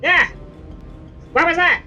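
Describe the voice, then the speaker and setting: A woman's voice: very high-pitched, slow pace, bright, forceful tone, lo-fi sound, faint music behind, exaggerated voice. A woman voicing a small, cheeky male dragonfly sidekick who wisecracks crudely and sarcastically. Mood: sarcastic. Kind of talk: fan dramatic reading.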